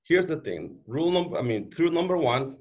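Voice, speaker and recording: A man's voice: a little high, big pitch swings, fairly quick, darkish tone, muffled recording. A man in his thirties with a Mexican accent, presenting as a city planning official on a video call, emphatic and full of fillers and repeated words. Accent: Mexican Spanish. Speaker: city community development director. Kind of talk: conference panel presentation. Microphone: webcam on video call.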